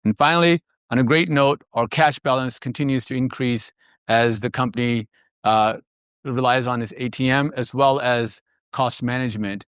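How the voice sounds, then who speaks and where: A man speaking as a finance executive on an earnings call, presenting in a measured, businesslike way. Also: mid-range voice, a bit bright, clean tone, no echo, clean studio sound.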